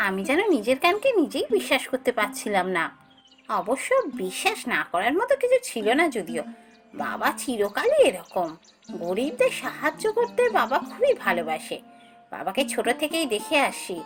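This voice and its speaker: A woman's voice: on the high side, a bit bright. Woman playing a devoted, earnest landlord's daughter. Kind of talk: Bengali fairy-tale cartoon dialogue.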